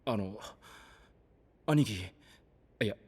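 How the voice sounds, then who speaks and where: An actor playing a humble, worried underling with a husky voice and hesitant, deferential manner in a Japanese anime voice drama. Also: male voice, lowish voice, animated, drawn-out words, darkish tone, heavily acted. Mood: anxious, grateful. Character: humble, worried male underling of a gang boss. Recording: long hall reverb.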